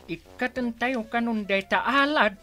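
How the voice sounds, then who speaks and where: A woman's voice: a little high, measured pace, bright, forceful tone, soft background music. A woman acting a gossiping, conspiratorial neighbour in an Ilocano radio drama, chatting urgently with an Ilocano accent.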